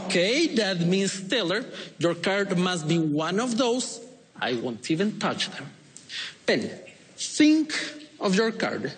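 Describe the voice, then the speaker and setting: A man's voice: high-pitched, very animated, takes it slowly, big-room sound. A man from Ecuador with an Ecuadorian Spanish accent, performing as a magician on a TV stage with playful, coaxing showman's patter. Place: TV studio stage before an audience.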